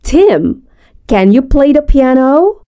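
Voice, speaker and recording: A woman playing a teacher who asks her pupils clear, encouraging questions in a scripted textbook dialogue. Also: high-pitched, big pitch swings, slow pace, warm tone.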